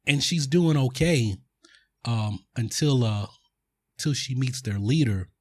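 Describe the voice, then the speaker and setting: A man in the middle of a casual podcast recap, rambling through a film plot with plenty of ums and restarts. His voice sits at an ordinary middle pitch. The recording is dry and close, with no room sound. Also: lively intonation, slow pace, no room sound. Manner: rambling, filler-heavy storytelling. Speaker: podcast host.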